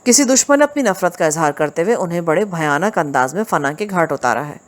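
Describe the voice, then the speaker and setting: A woman reading a crime novel aloud as scripted audiobook narration. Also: lowish voice.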